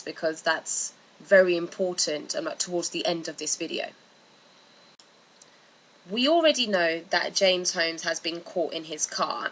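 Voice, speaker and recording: A woman's voice: lowish voice, sharp tone, rough recording. A woman giving informal explanatory commentary in a casual, loosely phrased way, as a video narrator. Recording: faint background noise.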